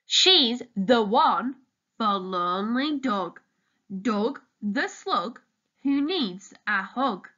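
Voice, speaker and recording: A woman reading a children's picture book aloud expressively and deliberately, as if addressing young listeners. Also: a little high, lively intonation, sharp tone, lo-fi sound. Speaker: storytime reader, teacher.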